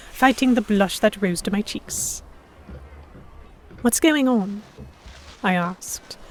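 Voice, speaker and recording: A woman's voice: medium pitch, a little mellow, a little hiss or hum, natural voice, low-quality recording. A woman playing a defiant, sheltered young lady held by pirates, narrating an audio drama in first person with articulate, literary phrasing. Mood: tense narration. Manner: articulate, literary, reflective storytelling.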